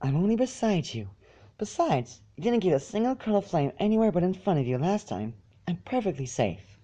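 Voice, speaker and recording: A man voicing a timid, gentle female pony in a storybook reading, with a small soft voice and a meek, hesitant, polite manner. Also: high-pitched, very animated, fairly quick, voice pushed up. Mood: sweet, reassuring.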